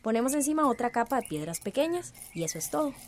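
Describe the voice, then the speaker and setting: A woman's voice: fairly high pitch, sing-song, fast, a little hiss or hum. An actress playing a practical, confident village woman in a radio soap. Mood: patient, instructive. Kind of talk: radio soap opera acting.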